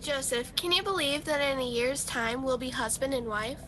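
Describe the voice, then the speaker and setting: A woman's voice: moderate pace, over music, close to an everyday voice. A woman playing a humble, devout young bride-to-be in a radio play, earnest and sincere. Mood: happy, affectionate. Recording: home video call with noise and rumble.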